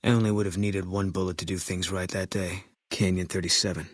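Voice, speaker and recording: An actor playing a terse, guilt-haunted ex-army sniper in a video game, deadpan and clipped, with a low husky voice. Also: male voice, a little fast, dry recording, clean studio sound. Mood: terse, watchful. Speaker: video game companion character.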